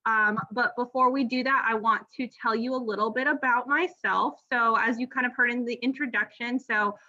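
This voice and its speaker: Bright, friendly woman physician in her thirties, relaxed talk with ums; slightly muffled recording. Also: a little high, moderate pace. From Miami, Florida, United States. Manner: friendly, frequent ums and restarts. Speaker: pediatric gastroenterology trainee presenting. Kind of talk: informal presentation over slides.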